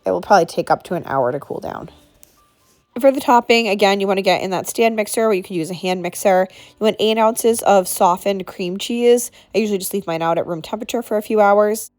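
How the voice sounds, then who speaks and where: A woman's voice: medium pitch, brisk, darkish tone, soft background music. A woman in her thirties hosting a home cooking video, talking casually through a recipe with lots of asides, her voice congested from a sinus infection. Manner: chatty, frequent "like" and asides. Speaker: cooking channel host.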